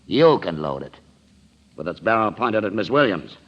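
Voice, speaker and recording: An actor playing a cold-blooded, smug, commanding gangster killer in an old-time radio crime drama. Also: male voice, on the low side, sing-song, moderate pace. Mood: gloating menace. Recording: dull vintage radio recording.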